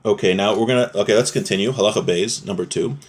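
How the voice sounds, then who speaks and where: A man's voice: fairly low pitch, fast. A man giving an informal religious lesson, reading from a text and explaining it plainly as a teacher. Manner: explanatory, restating points in plain words.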